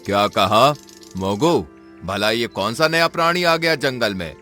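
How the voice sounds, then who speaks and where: An actor voicing a boastful, self-assured male lion in a cartoon, speaking in a low, scoffing voice. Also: animated, a little slow, pushed and bright. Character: boastful proud male lion, jungle king. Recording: cartoon with music behind.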